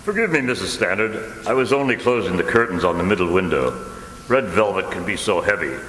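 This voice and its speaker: Man playing a low-voiced polite priest, echoing hall. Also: expressive, brisk, fairly bright tone. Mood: deferential. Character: deferential, polite priest attending a signora. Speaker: actor in a radio play. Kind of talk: dramatic radio play acting. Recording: echoey hall, faint noise.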